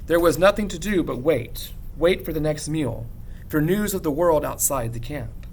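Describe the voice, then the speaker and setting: A man reads a story aloud like a teacher, in expressive narration with measured, dramatic pauses and emphasis. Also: on the high side, slight background noise. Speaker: teacher reading a novel aloud. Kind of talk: expressive audiobook-style narration.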